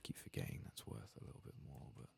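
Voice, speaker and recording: A man doing a soft-spoken ASMR game playthrough, murmuring and often slipping into a whisper. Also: fast talker. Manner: murmuring, drifting between soft speech and whisper. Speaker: ASMR gaming streamer.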